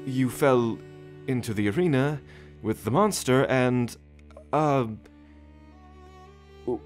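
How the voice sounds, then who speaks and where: A man acting in an ASMR audio roleplay as a doting royal caretaker, hesitant and stammering as he gently reassures. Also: mid-range voice, close to an everyday voice. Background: orchestral string music.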